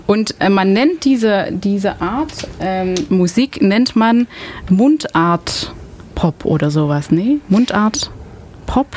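A woman from Belgium hosts a relaxed radio chat in German, which is not her first language. She talks in a friendly, searching way, filling pauses with small hesitations. She speaks from a radio studio. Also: mid-range voice, slight background noise. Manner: chatty, hesitant with many fillers. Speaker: radio host. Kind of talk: casual radio interview.

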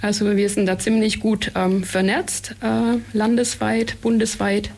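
A woman's voice: mid-range voice, flat delivery, unhurried, gravelly, darkish tone. Woman counselor explaining her service. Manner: fluent, explanatory, many fillers. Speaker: youth migration counselor. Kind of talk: radio interview guest. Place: radio studio.

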